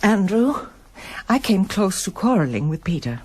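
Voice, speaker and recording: A woman's voice: mid-range voice, sing-song, moderate pace, a little mellow, slightly rough. An actress playing a troubled middle-aged mother in a radio drama, wounded and hesitant. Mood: weary, anxious. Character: troubled, brittle mother of a grown son, former pianist. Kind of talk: broadcast radio drama acting.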